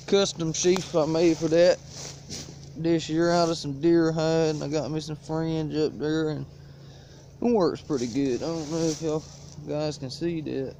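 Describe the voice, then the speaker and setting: A man's voice: a little high, slow pace, creaky, pauses often, mellow. A man casually showing his everyday gear in a folksy, laid-back drawl, filmed outdoors in the woods on a propped-up cell phone. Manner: laid-back rural drawl, folksy, coughs now and then. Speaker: outdoors vlogger. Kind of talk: casual show-and-tell vlog. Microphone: cell phone propped on a stick. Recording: dull lo-fi phone audio, faint hiss.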